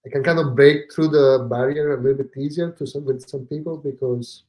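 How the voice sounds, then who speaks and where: An Italian-accented man speaks as a podcast guest, pausing often, filling gaps with "uh" and "um" and restarting his sentences. His voice sits at a middling pitch for a man. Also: fairly even intonation, darkish tone, rough recording. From Italy. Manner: hesitant, many fillers and restarts. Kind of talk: casual conversation.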